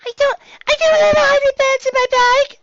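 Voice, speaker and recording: A woman's voice: extremely high pitch, steady tone, moderate pace, fairly bright tone, no room sound, muffled recording, pitched above the performer's own voice. A woman voicing an anxious little girl unicorn pony in a story, flustered and rambling. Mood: flustered panic.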